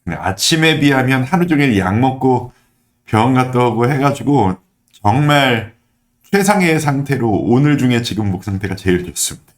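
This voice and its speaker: Expressive pastor with a throat cold. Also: male voice, mid-range voice, normal speed. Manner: earnest, explanatory, emphatic repetition. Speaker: pastor giving a daily online devotional. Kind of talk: devotional Bible teaching, conversational.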